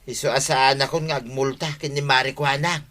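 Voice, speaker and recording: A man's voice: mid-range voice, little pitch movement, fairly quick, sharp tone, natural voice. A man with an Ilocano accent acting as an apologetic, hard-drinking village man in a radio comedy drama. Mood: relieved.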